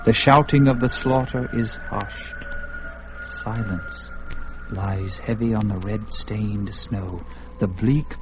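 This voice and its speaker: Slow, grave male narrator. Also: medium pitch, darkish tone, low-quality recording. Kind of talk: dramatic scripted narration. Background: music.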